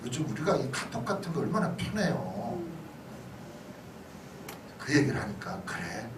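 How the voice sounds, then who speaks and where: A man's voice: mid-range voice, very animated, a little slow. A male pastor giving a conversational history lecture, chatty and often checking in with listeners through questions and asides. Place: church hall lecture. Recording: echoey hall, distant mic, noisy background.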